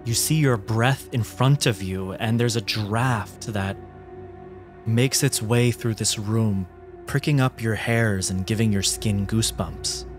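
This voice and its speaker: A man narrating a horror tabletop game as game master, with dramatic, descriptive and deliberate phrasing. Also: on the low side. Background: background music.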